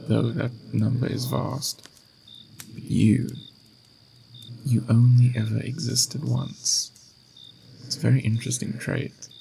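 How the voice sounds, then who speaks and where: A man playing a patient, gentle, mysterious ageless being in an ASMR roleplay, speaking in an unhurried, philosophical, reassuring way with a gravelly, creaky voice. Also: lowish voice, a little hiss or hum, rough recording. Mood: calm, knowing. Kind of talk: ASMR roleplay, slow intimate monologue.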